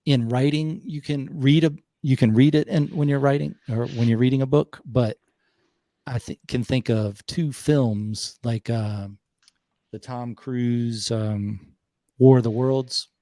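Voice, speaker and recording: Unhurried Southern man, dry close sound. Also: mid-range voice, fairly even intonation, warm tone, no room sound. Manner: reflective, unhurried, trailing pauses. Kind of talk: casual conversation.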